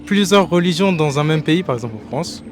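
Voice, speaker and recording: A young man with a slightly high voice runs a relaxed street interview on a busy square, holding out a handheld mic. He keeps it chatty with short prompts. Faint traffic noise hums behind him. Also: fairly quick. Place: busy city square outdoors.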